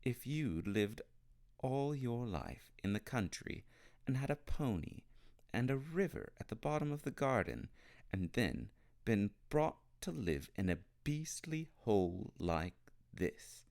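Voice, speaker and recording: A miserable, defiant young storybook boy, as voiced by a man, plaintive and insistent. The delivery is emphatic and swings widely up and down, with some gravel in it. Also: mid-range voice, sing-song, takes it slowly, darkish tone, pitched above the performer's own voice, heavily acted. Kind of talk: storybook character reading.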